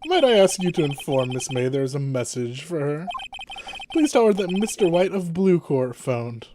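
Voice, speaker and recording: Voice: mid-range voice, very animated, moderate pace, background noise, natural voice. A performer voicing a courteous, servile male hotel bellboy from a video game, formal and deferential.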